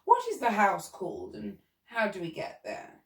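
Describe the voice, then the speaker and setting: A woman voicing a gentle, courteous giraffe in a storybook, measured and matter-of-fact. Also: medium pitch, wildly swooping pitch, gravelly. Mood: inquiring. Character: calm, courteous giraffe, gentle leader of animal friends. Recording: roomy echo, distant from the mic.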